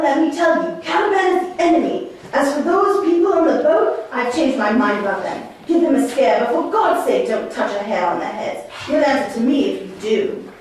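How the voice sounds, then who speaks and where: An imperious ageing sorcerer, played by a woman in a stage play, heard far off in a very echoey room. The voice is high, and the delivery is commanding, scornful and declamatory. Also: a little mellow. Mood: scheming. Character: imperious older male sorcerer master, scornful father. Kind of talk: theatrical stage dialogue.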